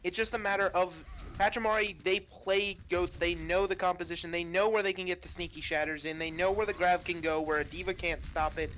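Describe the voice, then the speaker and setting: A man's voice: sits high, fairly quick, slight background noise, poor audio. A man doing color commentary, breaking down team strategy between fights. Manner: relaxed analytical breakdowns. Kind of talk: esports analysis commentary.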